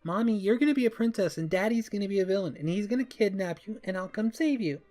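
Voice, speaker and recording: Man putting on an eager, high-pitched little girl. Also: lively intonation, fast talker, darkish tone, soft background music. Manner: eager, pleading, childlike exclamations. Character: playful, pleading six-year-old girl.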